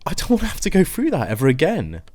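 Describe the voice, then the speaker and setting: An actor playing a weary, frightened young father and husband in a naturalistic audio drama, halting and understated. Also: on the high side, wildly swooping pitch, warm tone. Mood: defensive, pleading.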